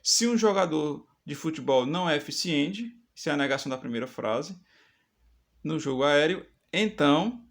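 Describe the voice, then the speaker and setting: A man's voice: a little high, lively intonation, normal speed, vocal fry. A man teaching a step-by-step math lesson for public exams, often checking in with "tá certo?". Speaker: math teacher for exam prep.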